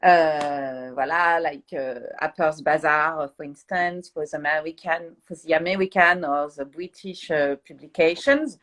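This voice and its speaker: French-accented woman, halting speech. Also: low-pitched, steady tone, a bit bright. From France. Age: middle-aged. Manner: halting, searching for English words. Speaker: interviewed guest, fashion PR agent. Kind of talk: live online interview, explanatory talk.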